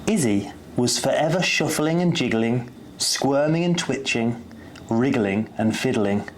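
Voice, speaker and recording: A man narrating a bedtime story for young children expressively, with playful, deliberate emphasis on words. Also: medium pitch, lively intonation. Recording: faint background noise.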